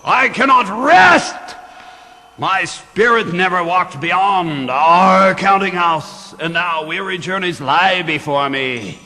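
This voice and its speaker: A man in a live radio drama playing the tormented ghost of a dead miser, mournful and theatrical, with a gravelly voice. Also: high voice, animated, pushed and bright, exaggerated voice. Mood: anguished wailing. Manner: slow, mournful, theatrical wailing and declaiming. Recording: long hall reverb with music behind.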